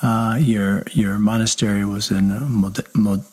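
A low-pitched, husky man hosting a radio interview as a parish priest. He speaks gently and conversationally, with easy fillers. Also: fairly even intonation, unhurried.

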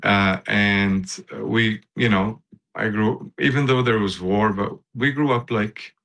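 A man in his fifties speaks with a Lebanese accent. His voice is on the low side. He answers as an interview guest in a casual, halting way, thinking aloud. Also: steady tone, fairly bright tone. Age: 50s.

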